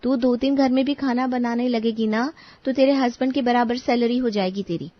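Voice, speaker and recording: A woman voicing an outspoken adult friend in an animated story, talking in a frank, persuasive way. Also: fairly high pitch, moderate pace, no echo, close to an everyday voice. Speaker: voice actor. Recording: dull, dry studio recording.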